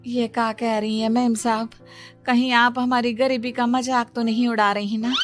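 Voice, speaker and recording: A woman's voice: a little high, level tone, moderate pace, faint music behind, pitched above the performer's own voice, close to an everyday voice. A woman voicing a humble, wary rustic nomad woman in a cartoon story. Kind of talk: Hindi cartoon moral-story acting.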